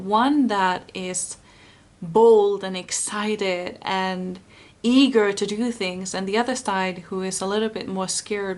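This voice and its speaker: A woman from Finland with a Finnish accent, doing a pick-a-card tarot reading in English, her second language, in a soft, earnest way, often stopping to rephrase. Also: medium pitch. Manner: slow, searching, rephrases often.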